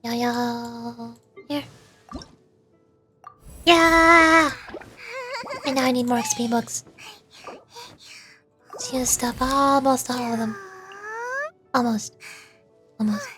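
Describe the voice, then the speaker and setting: A woman's voice: on the high side, fairly even intonation, slow, long pauses, sharp tone. A woman giving casual, chatty livestream commentary, talking to herself as she plays a video game. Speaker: streamer, virtual YouTuber. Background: game music.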